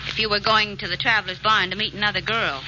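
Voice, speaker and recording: An actress playing a detective's witty, sardonic young sweetheart, with dry playful quips and an American accent, in 1940s radio drama style. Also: female voice, medium pitch, steady tone, quick pace, background noise, close to an everyday voice. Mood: dryly sardonic. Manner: dry, playful, arch quipping. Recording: muffled old radio recording with music.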